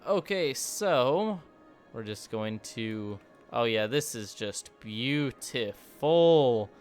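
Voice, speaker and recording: Man, streamer, casual sarcastic commentary, mid pitch, soft music behind. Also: lively intonation, drawn-out words. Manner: joking, sarcastic.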